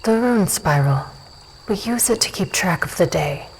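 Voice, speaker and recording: Casting: actress as dusk elf warrior woman, lowish voice, unhurried and sure of herself, audio drama over ambient noise. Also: animated, low-quality recording. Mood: composed, hospitable. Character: self-assured dusk elf warrior woman, hospitable, probing. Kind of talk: fantasy audio drama dialogue.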